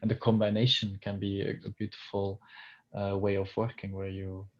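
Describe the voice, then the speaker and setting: A man's voice: fairly low pitch, level tone, normal speed. Male coach, native Dutch speaker, hesitant and searching, muffled recording. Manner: hesitant, many fillers and restarts. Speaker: touch and intimacy coach. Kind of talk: video call interview.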